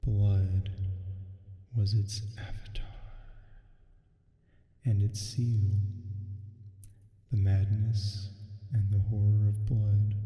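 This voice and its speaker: Man as a dark, slow, echoing demon storyteller. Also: fairly low pitch. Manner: hushed, measured, theatrical reading. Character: sleep paralysis demon reading a gothic tale, slow and sinister. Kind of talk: ASMR roleplay, storybook narration. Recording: long cavernous reverb, echoing. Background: faint music.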